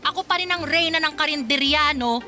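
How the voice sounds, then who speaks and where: A woman's voice: high-pitched, brisk, close to an everyday voice. A woman acting a feisty, jealous young eatery owner in a Filipino radio drama, sassy and confrontational. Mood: sassy, taunting.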